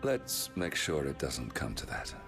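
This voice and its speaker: Dutiful middle-aged duke for fantasy game: very low voice, British, measured and wry. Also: male voice, sing-song, over music, exaggerated voice. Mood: solemn, resolute. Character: weary, dutiful archduke and loving father. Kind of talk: video game cinematic acting.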